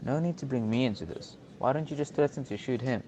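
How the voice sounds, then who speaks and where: A man's voice: medium pitch, fast talker, dark tone, slight background noise, lightly acted, poor audio. A young man acting a chatty, flippant husband held hostage in an amateur radio drama. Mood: cheeky, argumentative. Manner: flippant, talkative, complaining. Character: cheeky, chatty bank hostage and harried husband. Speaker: student actor.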